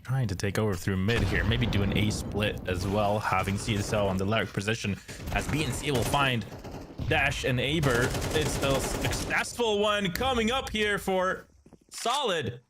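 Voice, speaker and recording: A man casting a live esports match, giving play-by-play commentary that gets louder and higher on big plays. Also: moderate pace, background noise. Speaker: esports caster.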